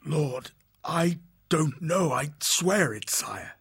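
A man's voice: on the high side, expressive, drawn-out words, gravelly, a bit bright, husky, voice pushed up, full character voice. A man voicing a terrified male wildcat captain, a bound prisoner, in a trembling, pleading tone.

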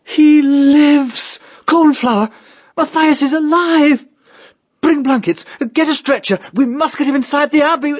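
A woman's voice: high voice, a little slow. A woman voicing an elderly, authoritative mouse abbot giving urgent, fatherly commands in a storybook drama. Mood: joyful urgency. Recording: slightly dull lo-fi audio.

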